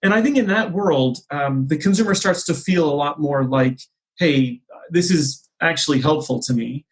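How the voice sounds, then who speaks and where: A man speaking as a podcast guest in a conversational business discussion, explaining ideas in measured, hesitant phrasing. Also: fairly high pitch, husky. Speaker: podcast guest, marketing executive.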